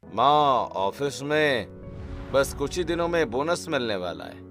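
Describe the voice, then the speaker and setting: Calm dutiful son voiced by a man, medium pitch. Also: unhurried, soft background music. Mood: reassuring. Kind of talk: animated family drama acting.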